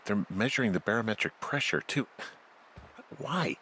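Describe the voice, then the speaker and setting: A man playing a wary, sardonic fire lookout in a video game, speaking in a halting, understated, conversational way. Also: on the low side, animated, pushed and bright, a little hiss or hum. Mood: puzzled, uneasy. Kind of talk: naturalistic video game dialogue acting.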